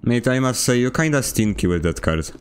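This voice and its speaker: A man streaming a video game, chatting casually and teasing his teammates with deadpan jokes. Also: medium pitch, fairly quick, clear, resonant tone. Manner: joking, teasing, mock-serious deadpan. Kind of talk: casual gaming chat. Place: home room with a fan.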